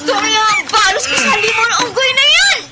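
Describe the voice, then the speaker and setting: An actress with a Filipino accent playing a brave, protective older sister in a horror radio drama. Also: female voice, very high voice, quick pace. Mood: alarmed. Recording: very noisy radio drama track.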